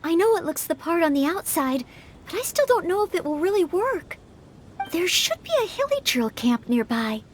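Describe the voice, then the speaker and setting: A woman's voice: very high voice, big pitch swings, moderate pace, a little hiss or hum. A woman voicing an earnest, polite, self-doubting young maid who hopes to be a knight in an anime-style video game, speaking with careful diction. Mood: unsure.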